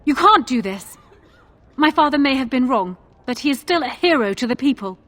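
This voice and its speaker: Female insistent young noblewoman, fantasy game: high, proud, urgent, assertive. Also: lively intonation, normal speed, fairly bright tone. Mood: urgent, protesting. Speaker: voice actor in a fantasy role-playing game. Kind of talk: dramatic fantasy game cutscene acting.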